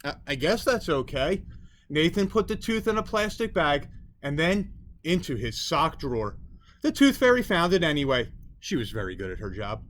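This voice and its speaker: A man narrating a children's storybook as a storyteller, in expressive scripted narration. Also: fairly high pitch, moderate pace, slight background noise. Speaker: storyteller reading a children's book.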